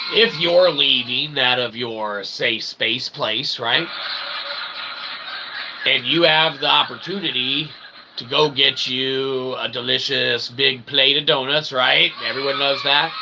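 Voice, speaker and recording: A man hosting a livestream with a rambling, comedic philosophical monologue, speaking in theatrical, mock-formal phrases with frequent check-in tags. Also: medium pitch. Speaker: livestream host and self-published writer. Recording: clearly noisy recording with background ambience.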